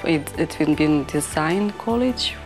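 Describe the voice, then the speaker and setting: A young woman from Ukraine with a Ukrainian accent, a fashion designer talking in halting English full of "like" fillers. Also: sits low, animated, over music. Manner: halting non-native English with many "like" fillers. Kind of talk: interview talk.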